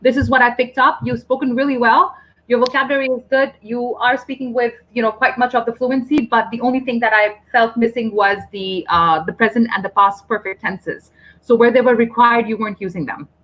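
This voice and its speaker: A woman who grew up in the UAE, hosting an online English conversation group and gently giving grammar advice over a video call. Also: on the high side, steady tone, fairly quick, dull audio. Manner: polite, encouraging teacherly corrections.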